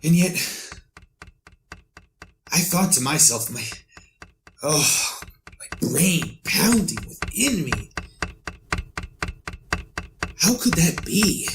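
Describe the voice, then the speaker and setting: A tormented man on the edge of madness in an old-time radio horror play. He speaks slowly and haltingly, stammering and repeating himself, with pitch that swings wildly. Clear noise sits behind the voice. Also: sing-song, heavily acted. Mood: frantic, bewildered. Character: tormented man narrating his own descent into madness.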